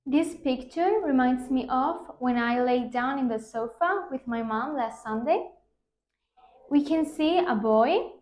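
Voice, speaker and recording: Young woman student with a high voice. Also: a little slow, darkish tone. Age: 20s. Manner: careful, hesitant answers. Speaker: student, exam candidate. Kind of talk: practice exam answers. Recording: echoey room.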